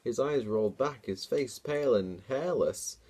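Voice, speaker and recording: A man's voice: medium pitch, animated, unhurried, warm tone, husky. A man hosting a dramatic story reading, mixed with amused, informal comic commentary. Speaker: host reading his own childhood story.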